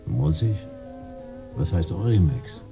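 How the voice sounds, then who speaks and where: Very deep, gravelly male detective. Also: animated, takes it slowly, music in the background, heavily acted. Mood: laconic, dry. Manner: laconic, dry, sardonic. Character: weary, sardonic male private detective, broke and stranded. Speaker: narrator and lead character. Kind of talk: hard-boiled detective radio drama narration and dialogue.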